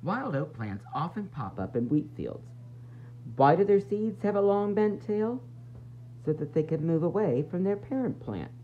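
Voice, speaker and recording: Male children's read-aloud teacher, highly animated swoops, dramatic pauses, faint noise behind a homemade recording. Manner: expressive, with dramatic pauses and emphasis; addresses young listeners with questions and asides. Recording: dull, faintly noisy home recording.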